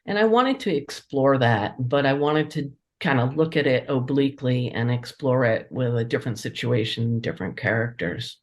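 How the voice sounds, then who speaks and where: A woman with a distinctly low-pitched voice gives conversational answers in an interview. She speaks as a writer about her work, with an appreciative, enthusiastic manner. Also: animated, normal speed, few pauses. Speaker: interviewed playwright and editor.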